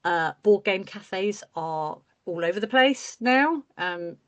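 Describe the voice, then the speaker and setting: A woman's voice: medium pitch, expressive, slow, fairly bright tone. A woman hosting a casual chat over a video call, talking in a friendly, chatty way with lots of fillers. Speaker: host.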